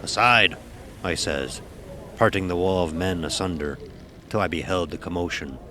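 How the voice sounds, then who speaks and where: An actor playing an old-time sailing-ship officer, telling a sea tale in salty, archaic nautical speech for an audio drama. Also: male voice, low voice, moderate pace, sharp tone, slight background noise. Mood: commanding. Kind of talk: dramatic first-person audio horror narration.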